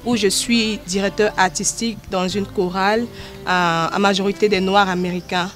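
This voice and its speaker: A woman's voice: mid-range voice, fairly even intonation, moderate pace, soft background music. A woman with a Cameroonian accent speaking as an interviewed gospel artist, in an earnest, devout manner. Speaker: gospel artist and choir director being interviewed. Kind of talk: interview talk about life and music.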